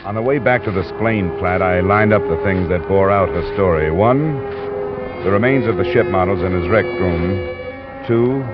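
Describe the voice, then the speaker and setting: A hard-boiled American investigator played by a man in an old radio crime drama. His voice is very low and clipped, with dry, probing delivery. The recording is muffled, with music clearly behind it. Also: natural voice. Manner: clipped, matter-of-fact. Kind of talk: 1940s-50s radio detective acting and narration.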